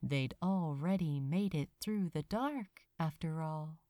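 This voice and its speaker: A woman narrating a written story as an audiobook reader, in an expressive, measured storytelling manner. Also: sits low, no room sound, studio quality. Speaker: fan fiction audiobook reader.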